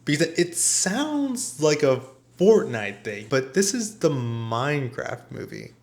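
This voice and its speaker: A man's voice: fairly high pitch, very animated, takes it slowly, darkish tone. A man delivering a scripted, comedic movie review with a sarcastic manner. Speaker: video essayist and film reviewer.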